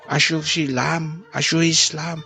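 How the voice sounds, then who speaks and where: A man's voice: fairly high pitch, little pitch movement, gravelly, sharp tone, voice pushed up, full character voice. A man putting on a sing-song shopkeeper with clipped speech. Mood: cheerful. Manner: slow, sing-song, clipped mispronounced words. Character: chatty older male shopkeeper, polite, clipped broken speech. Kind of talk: storytelling, acted dialogue. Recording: music playing underneath.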